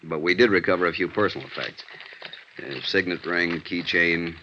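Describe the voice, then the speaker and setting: A gruff American detective sergeant in an old radio play. His voice is very low with a hard, bright edge, and he lays out facts in terse deadpan. The recording sounds dull and vintage. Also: moderate pace, a little hiss or hum. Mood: matter-of-fact. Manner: terse, deadpan case reporting. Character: gruff, matter-of-fact male police detective sergeant.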